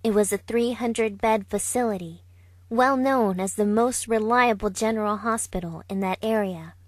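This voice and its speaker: A woman playing a composed young woman, narrating a visual novel in a calm, deliberate reading. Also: mid-range voice, no echo, natural voice. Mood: grave, hushed. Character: composed young woman recounting events thoughtfully. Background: soft background music.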